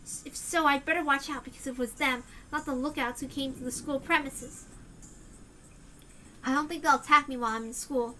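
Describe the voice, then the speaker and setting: A woman's voice: high-pitched, steady tone, normal speed, sharp tone, faint music behind, poor audio. Rena, a woman voicing a paranoid teenage girl. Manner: earnest, tense conviction. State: hoarse. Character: paranoid teenage girl narrator, convinced and intense.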